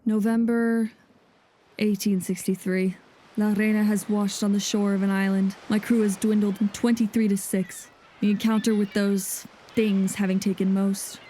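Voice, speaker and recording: A woman's voice: mid-range voice, little pitch movement, moderate pace, dark tone, a little hiss or hum, natural voice, low-quality recording. A woman playing a grave, haunted nineteenth-century sea captain reading a log in solemn, formal diction for an audio drama. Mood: weary, desperate. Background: music.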